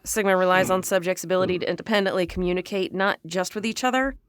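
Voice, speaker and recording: An actress playing a formal alien research lead, dictating a clinical, deliberate log in an audio drama. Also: female voice, lowish voice, smooth, no room sound, studio quality, close to an everyday voice. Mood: clinical, measured.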